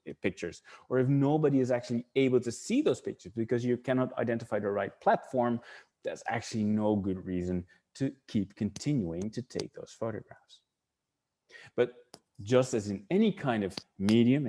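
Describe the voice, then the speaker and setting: A middle-aged Dutch man with a clear Dutch accent speaks English while leading an online webinar. His voice is warm and mellow, and his delivery wanders as he thinks aloud, doubling back on words and restarting sentences. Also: medium pitch. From Netherlands. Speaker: webinar presenter.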